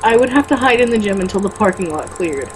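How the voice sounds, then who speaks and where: A woman's voice: mid-range voice, animated, quick pace. A woman with a mid-range voice reads a book aloud in an informal, amateur way. She stumbles on the odd word and makes blunt, sweary asides. It is a home recording with noticeable background noise. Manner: stumbles over words when reading, blunt and sweary in asides. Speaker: co-host reading a novel aloud with commentary. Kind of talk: amateur read-aloud with mocking casual commentary.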